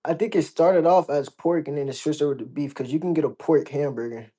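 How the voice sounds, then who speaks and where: A man's voice: a little high, a little fast, dark tone. A man from Georgia in the United States, chatting casually as an interview guest, blunt and slangy with plenty of swearing. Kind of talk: casual chat.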